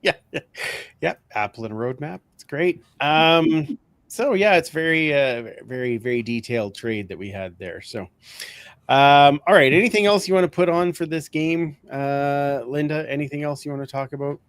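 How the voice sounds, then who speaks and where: A man's voice: fairly high pitch, moderate pace, fairly bright tone, clear, resonant tone, dry recording. A man hosting a casual sports podcast in an easygoing, chuckling way, often repeating his phrases. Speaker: podcast host.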